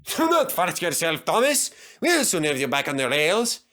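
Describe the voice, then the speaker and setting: A man's voice: fairly high pitch, wildly swooping pitch, normal speed, a bit bright, voice pushed up. A man voicing a jolly, teasing male steam engine in a children's storybook. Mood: amused, reassuring.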